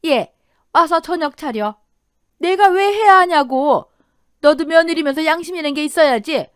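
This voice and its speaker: A woman's voice: high-pitched, normal speed, no echo, studio quality, voice pushed up, lightly acted. A woman voicing a domineering older mother-in-law who scolds and sneers in a Korean radio drama story. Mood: scolding.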